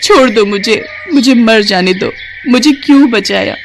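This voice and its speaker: A woman's voice: on the high side, a little slow, a little hiss or hum. A woman voicing a scheming, brazen young beauty in a moral story, dramatic and insistent. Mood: desperate.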